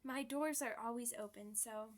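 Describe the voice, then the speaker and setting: A woman's voice: fairly high pitch, normal speed, lightly acted. A woman voicing a polite, chatty, proud old female vampire being interviewed, speaking in genteel, slightly formal phrases for an ASMR roleplay. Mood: reflective, gentle.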